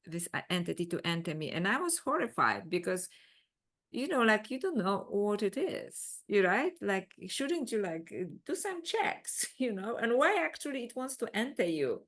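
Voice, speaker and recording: Woman, animated guest. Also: mid-range voice, normal speed. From Poland. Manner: animated, many restarts and "you know". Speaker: guest author. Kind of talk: conversational interview.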